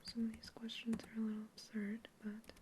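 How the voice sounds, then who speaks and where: A young woman whispering close to the mic in a sci-fi ASMR roleplay, calmly questioning and reassuring as a scientist observing an alien. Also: on the high side, brisk, background noise. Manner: soft whisper throughout, calm and reassuring. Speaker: ASMR creator. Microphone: close ASMR microphone.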